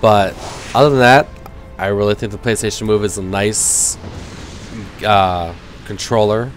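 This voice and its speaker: A man's voice: lowish voice, fairly even intonation, a little slow, faint music behind. A man in his thirties with a cold that leaves him nasal, giving rambling, self-deprecating casual gameplay commentary. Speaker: gaming commentator.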